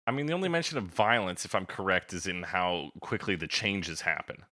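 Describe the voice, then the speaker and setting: A man with a low but bright, forceful voice, recorded dry and close. He talks casually as a podcast co-host, with slang and some swearing, giving opinions and probing with questions. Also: big pitch swings, brisk, dry recording.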